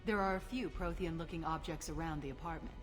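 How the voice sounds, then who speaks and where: A brash, commanding special agent in a sci-fi game, played by a woman. Her low voice is dry and sardonic, full of self-assurance. Music plays behind her. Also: quick pace, lightly acted. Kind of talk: video game voice acting. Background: game soundtrack music.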